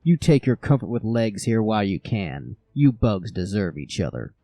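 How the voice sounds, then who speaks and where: A man voicing a bitter, contemptuous warrior god with a gruff, sneering manner in a dramatic audio drama. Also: fairly low pitch, very animated, normal speed, mellow, no room sound. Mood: angry, contemptuous.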